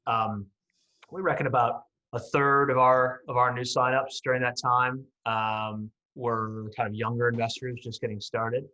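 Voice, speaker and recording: A man in his late thirties speaks as an interview guest with a bright, forward voice. His pitch is average for a man. He explains things conversationally, hedging and checking in as he goes. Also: level tone, moderate pace, lo-fi sound. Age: late 30s. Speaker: podcast guest, company CEO.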